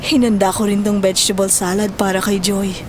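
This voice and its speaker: A woman playing a caring, protective stepmother in a Filipino audio drama, motherly and gently scolding. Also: mid-range voice, level tone, fairly quick, background noise, natural voice.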